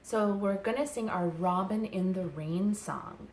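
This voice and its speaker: A woman who teaches young children, chatting and reading aloud gently in simple, unhurried phrases with little explanations. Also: mid-range voice, slight background noise. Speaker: childcare educator leading story and song time for toddlers.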